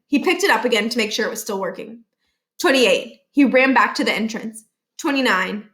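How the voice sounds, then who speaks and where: A woman with a fairly high voice narrates a children's novel. She reads aloud expressively, as a storybook reader. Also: a bit bright.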